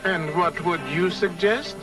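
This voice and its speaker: A man's voice: high-pitched, unhurried, recorded from a distance, music in the background, poor audio. A man voicing an upbeat, bossy young British musician in a 1960s cartoon, with a chipper, coaxing manner. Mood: brisk.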